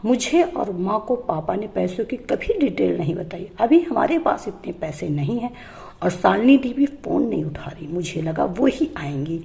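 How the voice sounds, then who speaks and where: A woman's voice: medium pitch, big pitch swings, moderate pace, creaky, dark tone, voice pushed down. A woman storyteller voicing an earnest young man, the distressed younger brother in a family tale.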